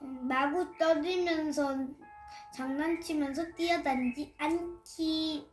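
An eight-year-old girl reciting a memorized children's story to listeners in a careful, deliberate way. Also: high-pitched, steady tone, faint music behind. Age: child, 8 years old. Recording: roomy echo.

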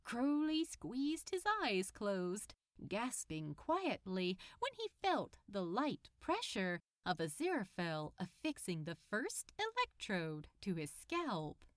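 A woman reading fiction aloud as an audiobook narrator, in an expressive storytelling style. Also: mid-range voice, takes it slowly, few pauses, no room sound, clean studio sound.

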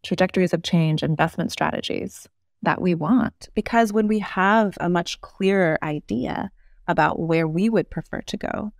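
Creaky-voiced woman in her thirties, measured. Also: on the low side, no echo, studio quality. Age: 30s. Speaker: podcast guest. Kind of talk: thoughtful interview explanation.

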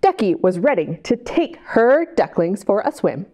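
A middle-aged American woman hosting a library storytime, reading aloud to young children in an expressive, sing-song way and drawing them in with questions. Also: mid-range voice, unhurried. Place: indoor library children's area. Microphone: lapel mic.

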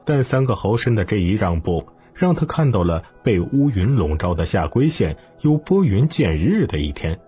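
A man narrating a historical novel as an audiobook in a dramatic, expressive storytelling manner. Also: fairly low pitch, moderate pace, few pauses, husky, soft background music.

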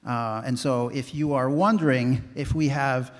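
A man in his forties speaking as a winemaker on a conference panel, animated and full of "you know, like" fillers, holding a handheld mic. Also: medium pitch, unhurried. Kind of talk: panel discussion. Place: stage in a large hall. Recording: long hall reverb.

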